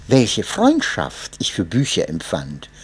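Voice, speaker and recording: A man reading a memoir aloud as narrator, in an expressive and measured way. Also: sits low, husky. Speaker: audiobook narrator.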